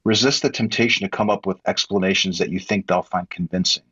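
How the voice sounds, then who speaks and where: Husky low-voiced man giving advice. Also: quick pace, no room sound, muffled recording. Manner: relaxed, explaining with many likes. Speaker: co-host of an audio chat room. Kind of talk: casual advice talk.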